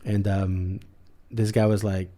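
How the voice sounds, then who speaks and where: Forties male guest, deep-ish and mellow, thinks out loud in loose rambling sentences. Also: level tone, slow. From San Jose area, US. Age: 40s. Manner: rambling, lots of "like" and "you know what I mean". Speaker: guest artist. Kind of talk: casual reflective conversation.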